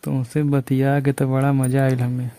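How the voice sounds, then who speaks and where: A man's voice: mid-range voice, monotone, normal speed, mellow. A man with a Bhojpuri accent, a thresher operator, chatting casually and teasingly on a phone call while lying under a tree outdoors.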